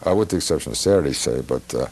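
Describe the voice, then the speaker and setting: A middle-aged American man hosting a casual interview, agreeable, with halting, hesitant phrasing. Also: extremely low pitch, lively intonation, darkish tone, slightly rough. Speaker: talk show host. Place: television studio.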